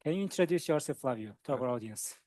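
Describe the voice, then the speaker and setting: A man moderating a panel discussion, speaking in halting phrases with short thank-yous. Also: mid-range voice, lively intonation, dark tone, no room sound. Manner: halting, repeats words, short acknowledgments. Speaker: webinar moderator.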